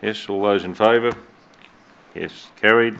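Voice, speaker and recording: Deep-voiced Australian mayor chairing. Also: male voice, fairly even intonation, vocal fry, fairly bright tone, highs cut off. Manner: measured, hesitant with many fillers. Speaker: mayor chairing a council meeting. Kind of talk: formal meeting chairing. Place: council chamber.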